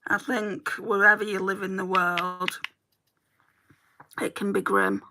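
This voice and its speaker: A woman's voice: mid-range voice, steady tone, takes it slowly, pushed and bright. A woman with a Lancashire accent chatting casually with viewers while doing her makeup and answering their comments. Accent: Lancashire English. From Lancashire, England. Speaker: beauty streamer.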